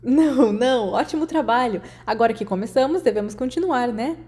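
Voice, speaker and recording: A woman's voice: on the high side, lively intonation, brisk, warm tone, pitched above the performer's own voice, close to an everyday voice. A brash teenage schoolboy, read by a female narrator, cocky and mischievous. His tone is casual and slightly lifted, in a storybook reading. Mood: amused.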